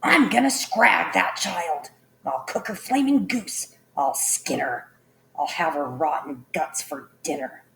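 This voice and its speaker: A woman voicing a spoiled, vain and cruel queen who yells shrill threats. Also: expressive, moderate pace, gravelly, hardly any pauses, reverberant, far from the mic, slight background noise. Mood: furious.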